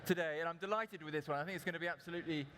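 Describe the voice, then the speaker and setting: A man's voice: a little high, steady tone, very fast, pushed and bright. A man chairing a conference session, introducing the panelists in a chatty, enthusiastic way. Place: conference hall.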